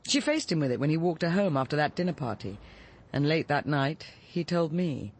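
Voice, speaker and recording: Radio drama casting: middle-aged English murderess, articulate, cool-headed and unapologetic. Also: female voice, low voice, big pitch swings, a little fast. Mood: intense, confessional. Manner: articulate, controlled, unsentimental. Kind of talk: BBC radio drama acting.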